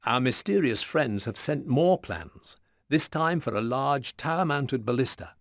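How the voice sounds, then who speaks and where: A man delivering measured, serious scripted narration for video game mission briefings. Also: medium pitch, animated, fairly bright tone, no room sound, clean studio sound. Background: music with plucked guitar.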